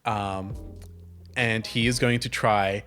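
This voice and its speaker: A man playing an improvised comedy tabletop game, chatting casually and thinking aloud in an excitable, halting way. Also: on the low side, faint music behind. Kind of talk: casual comic improvised roleplay.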